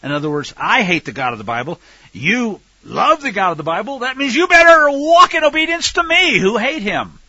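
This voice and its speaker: Husky, animated American man preaching. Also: very high voice, moderate pace, no room sound, dull audio. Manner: emphatic, sarcastic, rhetorical questions. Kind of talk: sermon, Bible teaching with political commentary.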